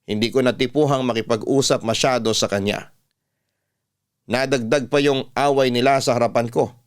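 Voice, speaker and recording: A man's voice: mid-range voice, steady tone, normal speed. A man narrating a scripted first-person love story as a radio host reading a listener's letter.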